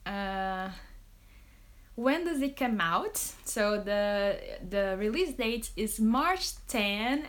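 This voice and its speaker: A woman's voice: medium pitch, a bit bright. A woman author from Brazil, casually answering fan questions on a phone live stream, chatty with many fillers and laughs. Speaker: romance author. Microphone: phone on a social media live stream.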